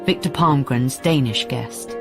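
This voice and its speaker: A woman playing the storyteller of a police radio drama, reading scripted narration in a measured, descriptive way. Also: extremely low pitch, music in the background.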